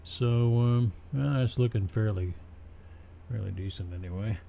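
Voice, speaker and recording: Slow, low-voiced man, dull muffled recording. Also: gravelly. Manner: halting, thinking aloud as they work. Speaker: tutorial presenter. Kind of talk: casual unscripted software tutorial narration. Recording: dull, muffled home recording with faint noise.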